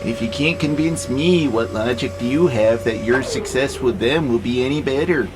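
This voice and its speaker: A man's voice: medium pitch, moderate pace, natural voice, poor audio. A man acting in an audio drama as a wry pacifist warehouse robot, speaking in a dry, skeptical, laid-back way. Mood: doubtful, dry. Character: wry, easygoing pacifist male warehouse robot who loves nature.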